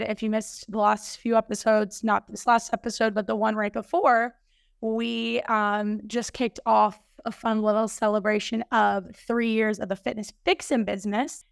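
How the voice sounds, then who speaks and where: A woman hosting a fitness podcast, slightly hoarse, chatting upbeat about coming news. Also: mid-range voice, no room sound. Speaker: podcast host and fitness coach. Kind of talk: casual solo podcast intro.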